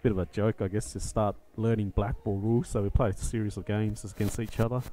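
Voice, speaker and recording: An Australian man co-commentating a pool match, relaxed and talkative. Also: fairly low pitch, fairly quick, background noise. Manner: relaxed, talkative, a few fillers. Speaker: co-commentator and league organiser. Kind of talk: live sports commentary.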